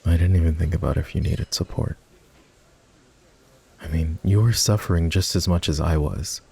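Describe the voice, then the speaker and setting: Man acting a deep, husky, regretful ex-boyfriend. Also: moderate pace, heavily acted. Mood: regretful, tender. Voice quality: husky, gravelly low voice. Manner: soft, hesitant, confessional. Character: regretful, tender ex-boyfriend turned office boss. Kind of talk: ASMR boyfriend roleplay, intimate scripted monologue.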